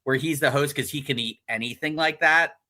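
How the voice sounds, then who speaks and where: A man's voice: fairly high pitch, brisk, bright, forceful tone. A man co-hosting a card podcast, joking, swearing and laughing often in casual chat. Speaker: podcast co-host.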